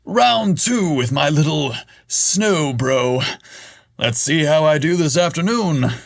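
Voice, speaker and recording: A man voicing a brash, eager adult man who talks loudly and theatrically. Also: fairly high pitch, expressive, takes it slowly, creaky, no echo, clean studio sound, voice pushed up, exaggerated voice. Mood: melodramatic. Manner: loud, theatrical, exasperated.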